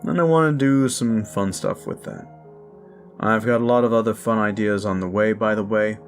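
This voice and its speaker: Deep-voiced man in a chatty outro. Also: normal speed, faint music behind. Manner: upbeat, chatty, thankful. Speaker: audio roleplay creator. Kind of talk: casual outro chat.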